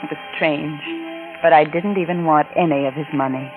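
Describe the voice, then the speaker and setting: An actress playing an unfaithful, romantic wife, narrating in a polished, confiding 1940s radio drama style. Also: female voice, low-pitched, steady tone, normal speed, narrow, tinny audio, close to an everyday voice. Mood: confessional, brooding. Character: unfaithful wife confessing a secret love, brooding, romantic. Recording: vintage radio recording with music.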